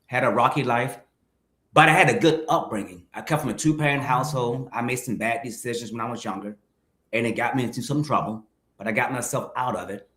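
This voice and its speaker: A man's voice: mid-range voice, fairly quick, sharp tone. A man in his fifties, a guest in a conversational interview, reflecting candidly and speaking directly to viewers. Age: 50s. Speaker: guest, mukbang creator. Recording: roomy echo.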